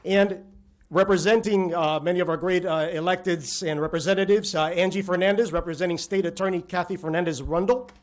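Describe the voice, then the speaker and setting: A man with a notably high voice acts as master of ceremonies, running through guest recognitions. He reads the names briskly and fills the gaps with "uh"s. Faint noise sits behind him. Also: steady tone. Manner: brisk name listing with filler "uh"s. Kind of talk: ceremony emcee announcements.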